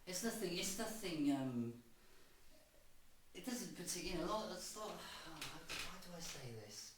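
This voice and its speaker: A middle-aged man from Cardiff, answering interview questions in a halting way, often repeating words and restarting. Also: high voice, lively intonation. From Cardiff, Wales. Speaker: guitarist and producer. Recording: distant, very echoey room with faint noise.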